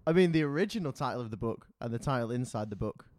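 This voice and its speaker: A man's voice: mid-range voice, animated, fast talker, a little mellow, no room sound. British man, late twenties, podcast co-host, swooping intonation, close dry sound, casual banter. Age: late 20s.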